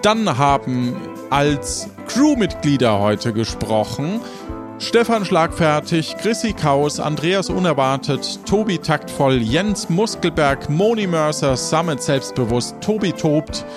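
A man's voice: fairly high pitch, animated, takes it slowly, over music. A man hosting and narrating an improvised audio drama podcast in a casual way.

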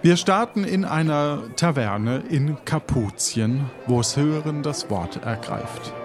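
Music bed, fairly high male voice, audio adventure host, presenter-style introduction. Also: animated, slow. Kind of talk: lively scripted-style show introduction.

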